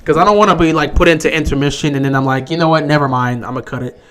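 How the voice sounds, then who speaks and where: A young man with a sore, hoarse voice, giving casual live commentary on a football game he is streaming, resigned and frustrated. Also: a little high, rapid-fire, fairly bright tone, slight background noise. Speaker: livestream host.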